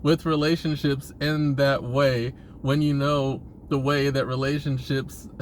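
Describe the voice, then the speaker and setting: A man's voice: medium pitch, little pitch movement, a little slow, slight background noise. A man talking casually in a rambling monologue, hesitant and often restarting his phrases, as a vlogger posing questions.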